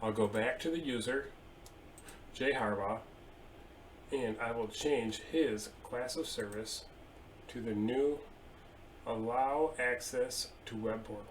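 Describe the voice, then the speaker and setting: A man in his thirties at his desk, casually explaining software settings step by step with a few fillers. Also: medium pitch, slow, pauses often, slight background noise, rough recording. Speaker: IT tutorial presenter. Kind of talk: screen-share software walkthrough. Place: indoor room at a desk.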